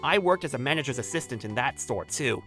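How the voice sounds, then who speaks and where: A woman's voice: rumbling low, lively intonation, fast, fairly bright tone, soft background music. A woman voicing an earnest, easily flustered man in his thirties, narrating a romantic comedy manga dub. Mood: matter-of-fact. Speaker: voice actor narrating and playing the male lead.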